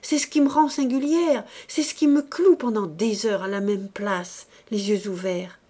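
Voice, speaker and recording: A woman's voice: medium pitch, unhurried, mellow, natural voice. A woman voicing a distraught, guilt-ridden married woman who pleads and confesses feverishly, in dramatic audiobook style. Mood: haunted, agitated. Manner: pleading, exalted, breaking into whispers. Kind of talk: dramatic audiobook character acting.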